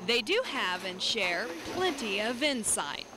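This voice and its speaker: A woman's voice: medium pitch, very animated, unhurried, very noisy. A woman reading scripted narration as a news feature reporter.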